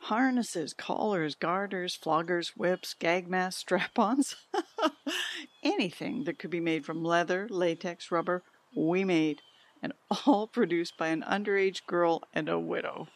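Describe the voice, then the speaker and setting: A woman playing a frail elderly mother who recalls a hard past frankly and deliberately in an audio drama. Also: lowish voice, animated. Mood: frank, amused. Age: older.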